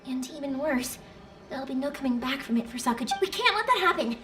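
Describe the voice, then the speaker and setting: An actress voicing an earnest, caring, energetic young woman in a video game, expressive in delivery. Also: sits high, quick pace, far from the mic, music in the background. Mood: urgent, determined. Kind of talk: English video game voice acting.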